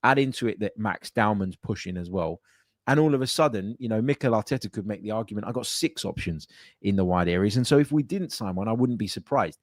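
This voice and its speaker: A man's voice: on the low side, expressive, brisk, no room sound, clean studio sound. A man hosting a casual call-in podcast from his home office, chatting loosely with plenty of fillers and restarts. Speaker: podcast host.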